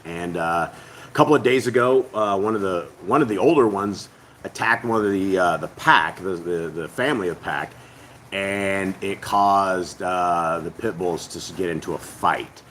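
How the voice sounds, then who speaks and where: A man hosting a family faith channel who speaks to viewers in a casual, earnest, rambling way, his voice hoarse and partly lost. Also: deep voice, a little slow, fairly bright tone, a little hiss or hum. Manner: earnest, rambling, with many hesitations. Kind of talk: casual spoken address to viewers.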